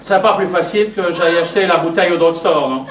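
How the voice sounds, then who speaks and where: An actor playing an exasperated, scheming husband in a theatrical French farce, sarcastic and emphatic. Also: male voice, sits high, quick pace, natural voice. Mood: sarcastic, insistent. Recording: echoey stage, noisy background.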